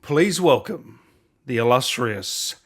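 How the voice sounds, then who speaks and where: A man's voice: medium pitch, expressive, slow, a bit bright. A man interviewing casually in a matey, admiring manner. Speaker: interviewer, host.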